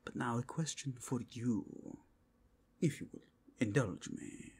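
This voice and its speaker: A man's voice: mid-range voice, a little mellow, slightly rough. A man voicing a zealous, courtly werewolf inquisitor in an ASMR roleplay, with a mixed foreign accent touched by Spanish, speaking formally and in a half-whisper. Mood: courteous, probing. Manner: formal, courteous, deliberate, intense.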